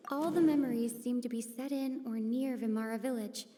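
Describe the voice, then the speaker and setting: A woman playing a calm, analytical young scholar in a video game, reasoning thoughtfully aloud. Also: on the high side, fairly quick, big-room sound, slight background noise, natural voice. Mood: pensive, cautious. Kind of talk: measured video game dialogue.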